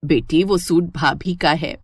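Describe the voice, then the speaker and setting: Woman putting on a low, motherly older mother-in-law. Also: husky, dry recording, studio quality, full character voice. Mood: gently scolding. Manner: slow, motherly. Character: kindly older mother-in-law, affectionate, gently scolding.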